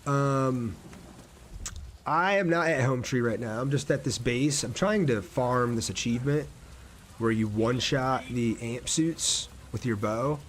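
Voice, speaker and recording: A man's voice: mid-range voice, a little slow. A man casually commentating on a game livestream and chatting with his viewers. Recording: clear background noise, game ambience.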